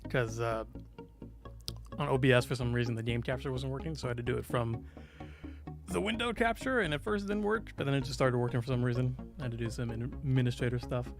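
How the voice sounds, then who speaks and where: Man, mid-pitched creaky voice, casual game stream commentary, flustered, self-deprecating, faint music behind. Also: quick pace. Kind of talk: casual live game stream commentary with read-aloud game text.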